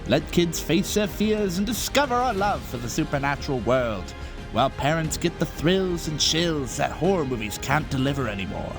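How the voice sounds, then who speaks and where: Promo narrator, man, grandiose family-attraction showman, lively higher-set voice over prominent music. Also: normal speed. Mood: grand, inviting. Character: grandiose theme park pitchman, cheerful showman.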